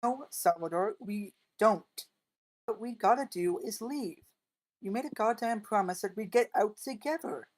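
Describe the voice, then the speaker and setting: A woman takes the part of a wary, armed survivor in a zombie script. The voice sits at a medium pitch, and the talk is blunt, emphatic and colloquial, as at a table read. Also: moderate pace, a little mellow, natural voice. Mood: exasperated pleading. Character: blunt, wary armed partner, pleading to flee.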